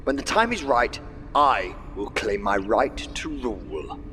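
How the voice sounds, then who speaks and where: A man's voice: fairly low pitch, big pitch swings, a little slow, over loud music. A man playing a scheming, panicky young wolf heir, whiny, blustering and stammering, in a sci-fi audio drama.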